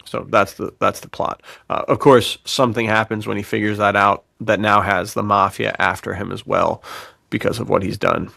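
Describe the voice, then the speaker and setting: A man's voice: lowish voice, level tone, normal speed, gravelly, sharp tone, no echo, clean studio sound. A man hosting a casual podcast chat about writing, talking in an effusive, informal way as a fellow author. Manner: effusive, informal, says "dude". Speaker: podcast host and fiction author.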